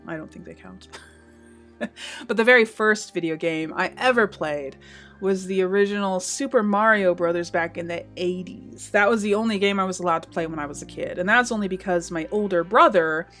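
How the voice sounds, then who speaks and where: A woman's voice: fairly low pitch, fairly bright tone. A woman in her thirties from the Pacific Northwest of the US, with slight Southern and Canadian hints in her accent, answering viewer questions in a chatty, casual way and laughing often. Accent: Pacific Northwest American with slight Southern and Canadian hints. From Washington State, USA. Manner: chatty, laughs often, self-deprecating asides. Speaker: gaming YouTuber. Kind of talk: casual Q&A monologue.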